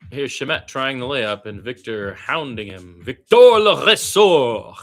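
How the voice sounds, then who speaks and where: A man calling basketball as a partisan radio play-by-play announcer, blunt and opinionated, animated in his delivery and repeating phrases for emphasis. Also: measured pace, faint music behind. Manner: animated, swinging from low asides to loud high calls.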